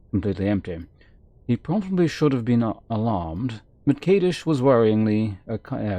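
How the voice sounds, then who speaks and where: A man's voice: medium pitch, dark tone, dry recording. A man streaming a game, informally commenting on play and reading its text aloud, with muttered asides.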